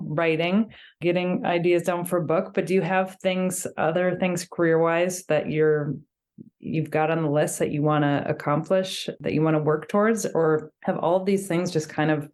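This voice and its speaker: A woman hosts a podcast interview, asking long, encouraging questions with thoughtful pauses. Her voice is low for a woman. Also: darkish tone. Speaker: podcast host.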